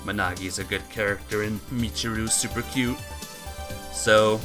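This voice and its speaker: A woman's voice: very deep voice, steady tone, normal speed. A woman game streamer reading a visual novel aloud and chatting casually with viewers. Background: music.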